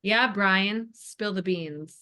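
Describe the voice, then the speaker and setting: A woman's voice: mid-range voice, slow pace, sharp tone. A woman reading the part of a sassy housemate at a table read, teasing and pointed as she eggs others on. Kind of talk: informal script table read.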